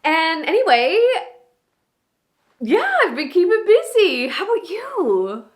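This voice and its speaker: A woman performing a comedic stage monologue as a bubbly, proud woman gushing excitedly about her achievements. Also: extremely high pitch, slow pace, pitched above the performer's own voice, exaggerated voice. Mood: bright, proud. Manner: breathlessly excited, self-delighted, gushing.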